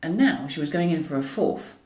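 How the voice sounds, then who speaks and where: Female audiobook reader for comic fiction: dry irony, animated pitch movement, recorded roomy and slightly distant. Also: moderate pace. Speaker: author reading own novel. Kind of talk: scripted narration of a comic novel. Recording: roomy echo, distant from mic.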